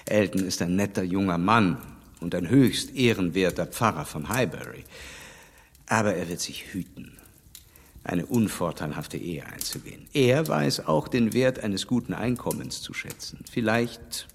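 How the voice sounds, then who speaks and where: An actor playing a stern gentleman who lectures a young woman in a radio play, speaking firmly and reproachfully. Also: low voice, expressive, measured pace, creaky, husky, in a large hall, exaggerated voice. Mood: warning. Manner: firm, reproachful, emphatic.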